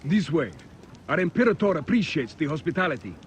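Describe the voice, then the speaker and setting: An actor playing a stiff, courteous and dutiful Roman officer in a video game drama. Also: male voice, on the high side, sing-song, brisk, slight background noise. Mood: formal, reserved.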